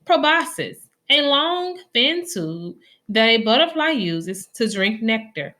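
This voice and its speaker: A woman reading a children's nonfiction story aloud in a deliberate, instructional way for young listeners. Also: on the high side, big pitch swings, pushed and bright.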